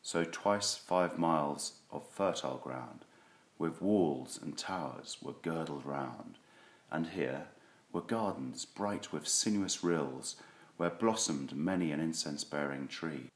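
Very deep-voiced man reciting poetry. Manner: solemn, theatrical, deliberate phrasing. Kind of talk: dramatic classic poetry recitation. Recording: roomy, echoing sound.

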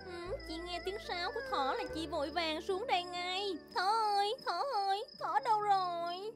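A woman's voice: extremely high pitch, moderate pace, loud music behind the voice. A woman voicing a doting, distraught moon goddess in a children's cartoon, calling out in long wails. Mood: anxious.

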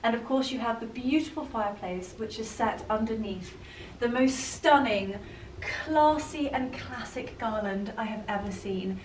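A woman's voice: on the high side, unhurried. Effusive woman, distant roomy sound. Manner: effusive, enthusiastic. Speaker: lifestyle vlogger. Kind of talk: house tour vlog presenting. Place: large rooms inside a house. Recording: roomy, distant camera mic, noisy.

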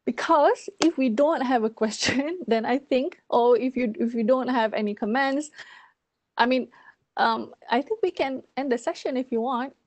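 A woman's voice: high voice, normal speed, no room sound. A woman in her thirties, from Malaysia, presenting research on a video call from her home study and explaining with many fillers. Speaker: seminar presenter. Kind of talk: academic talk on a video call. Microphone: earphone mic on a video call.

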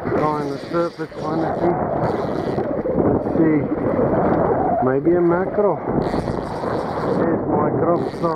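A man on a jet ski at sea, giving unhurried commentary to his action camera as he fishes, thinking aloud between pauses. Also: fairly high pitch, level tone. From Australia. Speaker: jet ski angler filming his trip. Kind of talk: casual running commentary while fishing. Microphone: action camera mounted on the jet ski. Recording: muffled action-cam audio, loud wind and water. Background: wind, waves and engine noise.

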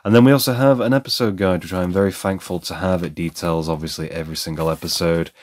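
A man's voice: deep voice, steady tone, quick pace. A man giving a candid, conversational voice-over for an unboxing review, sharing his opinions as he goes.

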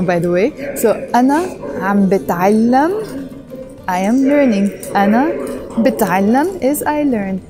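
A woman in her thirties giving a vlog language lesson, talking softly and a little shyly, first in a train station and then on a busy street, into an earphone mic. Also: medium pitch. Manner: soft-spoken and shy, then louder and brighter outdoors. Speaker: language teacher. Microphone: earphone headset mic.

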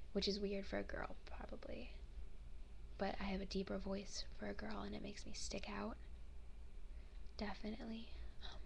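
Young woman whispering softly into a webcam. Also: medium pitch, gravelly, slight background noise. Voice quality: soft unvoiced whisper. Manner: rambling, with many fillers and restarts. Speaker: vlogger. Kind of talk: whispered casual chat. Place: small bedroom.

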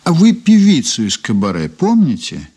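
A sly investigator in a 1980s Soviet radio drama. The voice is husky and swings widely in pitch, staying polite and insinuating with studied casualness. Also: male voice, wildly swooping pitch, moderate pace, warm tone, exaggerated voice. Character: courteous, sly male investigator probing a witness. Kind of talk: Soviet 1980s radio play, dialogue and first-person narration.

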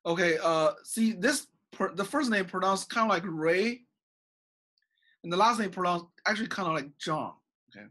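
A man's voice: sits high, expressive, moderate pace, bright, forceful tone. A middle-aged man from China with a Chinese accent, a college professor recording an online class lecture in his office over a webcam, tagging his sentences with "okay?" and many "uh" fillers. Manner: frequent "okay?" and "uh" fillers, gesturing while talking. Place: small office with bookshelves. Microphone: webcam video call at desk.

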